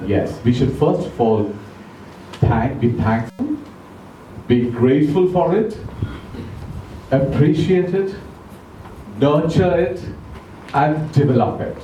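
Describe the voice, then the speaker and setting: A man giving an educational talk to a club audience, speaking slowly and deliberately, asking them questions, English not his first language. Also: on the high side, lively intonation, mellow, recorded from a distance, slight background noise. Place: club meeting room. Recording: echoey room recorded from afar.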